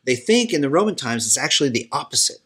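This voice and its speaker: Male host, mid pitch, easygoing and chatty, steers the conversation. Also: sing-song, quick pace, fairly bright tone, slightly rough. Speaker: podcast host. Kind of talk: casual movie chat.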